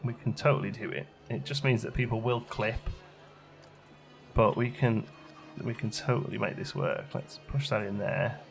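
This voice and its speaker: A man with a fairly low voice and a noticeable creak hosts a gaming video. He talks casually and chattily, thinking out loud as he plays, with a little music behind him. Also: steady tone, normal speed. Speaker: gaming channel host. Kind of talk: casual let's play commentary.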